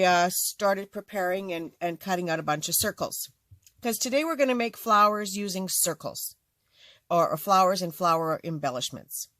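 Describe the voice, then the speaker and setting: An older woman with a slightly raspy voice hosting a casual, rambling craft tutorial, full of ums and asides. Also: mid-range voice, a bit bright, no room sound. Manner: rambling, chatty, many fillers. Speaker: craft channel host.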